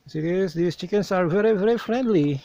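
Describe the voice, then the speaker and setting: Filipino man, travel vlogger, slightly high voice, slow and explanatory, recorded outdoors on a camera mic. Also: warm tone, dull audio. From Philippines. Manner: explanatory, unhurried, with restarts. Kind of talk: outdoor travel vlog narration. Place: outdoors on a hilltop shrine.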